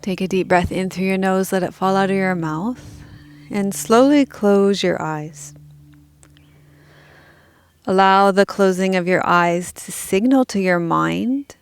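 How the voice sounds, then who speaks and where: A woman leading a guided meditation as a teacher, speaking calmly and gently in measured instructions with pauses. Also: medium pitch, clean tone.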